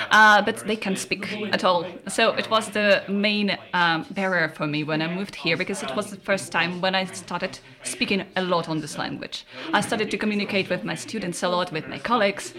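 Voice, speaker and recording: A woman from Russia speaking English with a Russian accent, a lecturer on a panel who pauses to search for words. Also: on the low side. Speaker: computer science lecturer. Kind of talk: panel discussion.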